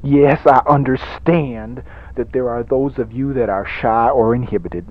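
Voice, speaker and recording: A man playing a theatrical, pompous evangelist reverend who preaches a parody radio sermon in oratorical swells and hushed drops. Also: mid-range voice, unhurried, natural voice. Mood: coaxing. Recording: dull lo-fi 1980s radio recording.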